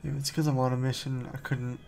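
Fields: Voice: gravelly man. Style: gameplay commentary. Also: mid-range voice, level tone, fast, a little mellow. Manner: offhand, joking asides. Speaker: gamer.

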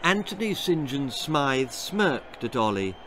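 An older man reading his children's story aloud in an expressive, dramatic narrating style. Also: mid-range voice, slow pace, slight background noise. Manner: expressive, theatrical. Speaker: author reading own story. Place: small book-lined room indoors.

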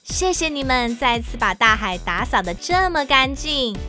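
A woman's voice: sits high, big pitch swings, normal speed, sharp tone, exaggerated voice. A woman playing a friendly storyteller, narrating a children's cartoon with a Taiwanese Mandarin accent. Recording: children's music behind.

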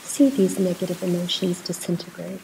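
A woman leading a guided meditation in a soft, at times breathy voice, soothing and unhurried, with pauses between short instructions. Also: lowish voice, level tone, a little hiss or hum, poor audio.

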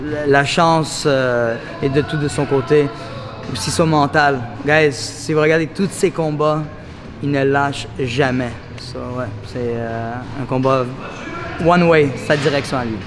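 A man's voice: medium pitch, fairly even intonation, a little slow. A man who coaches MMA, talking casually and searching for words, mixing in some English. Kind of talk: casual sports talk, interview and coaching. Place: large indoor MMA gym. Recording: noisy gym ambience.

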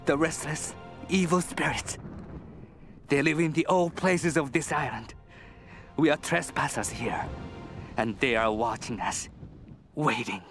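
Casting: haunted, doomed Japanese soldier in a game diary; higher-pitched, slow, anguished, music underneath. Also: male voice, fairly bright tone. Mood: haunted, ominous. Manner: halting, haunted, anguished delivery. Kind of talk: dramatic video game diary narration.

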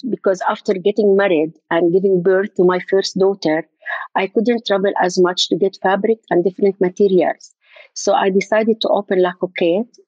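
An older woman from Jordan talks through an interview haltingly, pausing to find her words. Her voice sits at a middle pitch. It comes over a dull, muffled line in a dry, close sound. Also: darkish tone, dry recording. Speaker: event designer, guest. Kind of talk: interview conversation.

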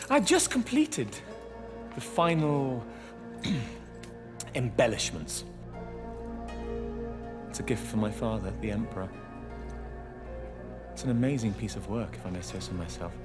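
Game royal: conceited young prince, animated and self-pleased, music bed. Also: male voice, medium pitch. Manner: self-satisfied, drawling, lively. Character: vain, smug young male imperial prince. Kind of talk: video game cutscene acting.

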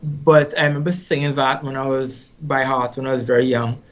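A middle-aged man with a Trinidadian accent talks through his memories in an unhurried, reflective way, often doubling back on words as he thinks. His voice sits at an ordinary middle pitch. The recording sounds a little dull and lo-fi. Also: steady tone. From Trinidad and Tobago. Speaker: musician guest. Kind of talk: casual interview storytelling.

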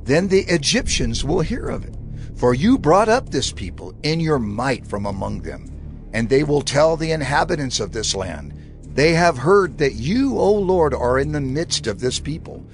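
An actor playing an earnest prophet, imploring and reverent, in a dramatized audio Bible. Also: male voice, fairly high pitch, very animated, normal speed, soft background music. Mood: earnest pleading. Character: Moses, earnest male prophet pleading with God.

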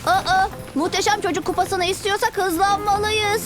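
A woman's voice: extremely high pitch, fairly even intonation, moderate pace. A woman voicing an eager, earnest six-year-old boy superhero in a cartoon dub. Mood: confident. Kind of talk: animated series dub acting. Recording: music behind the voice.

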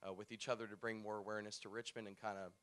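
A man's voice: fairly low pitch, little pitch movement, racing, a bit bright. A man on a discussion panel, speaking as a new brewery owner in an easygoing way with fillers.

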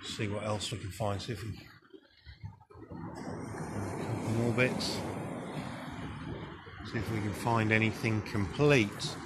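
A man's voice: lowish voice, steady tone. British man musing amid outdoor noise. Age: middle-aged. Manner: halting, musing as he searches. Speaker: vlogger. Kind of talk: casual off-the-cuff commentary while exploring. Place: outdoors on a beach below cliffs.

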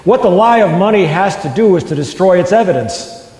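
A man's voice: high-pitched, animated, fairly quick, darkish tone, reverberant, big-room sound. A man giving a live talk, reading his own essay aloud to an audience with impassioned, building emphasis. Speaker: public speaker.

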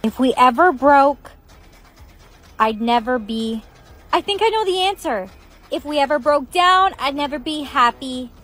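A woman's voice: sits high, lively intonation, unhurried, pauses often, fairly bright tone, soft background music. A woman acting a blunt, dramatic young sweetheart in an exaggerated comedy skit.

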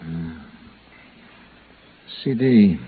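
A man's voice: deep voice, animated, drawn-out words, a little hiss or hum, exaggerated voice. An actor playing a dogged, wry insurance investigator in a 1950s radio detective drama, clipped and dry as he talks and narrates. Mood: dry, probing. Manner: clipped, dry, hard-boiled. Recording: tinny band-limited old radio broadcast, music.

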